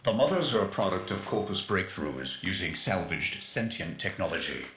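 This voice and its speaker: Analytical male strategist, deep bright-edged voice, echoey, precise technical briefing, video game. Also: lively intonation, slight background noise. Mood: matter-of-fact. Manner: precise, technical, measured explanation. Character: analytical male tech strategist of a resistance crew. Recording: echoey, music underneath. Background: music.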